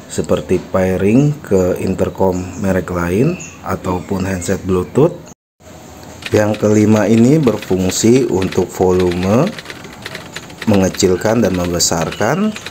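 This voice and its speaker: A man's voice: low voice, darkish tone. A man narrating a step-by-step product tutorial in an explanatory, instructional manner. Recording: faint background noise.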